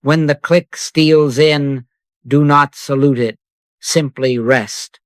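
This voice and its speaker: A man narrating a spiritual sleep meditation in a soft, unhurried voice with long pauses between phrases. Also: a little high, steady tone, no room sound.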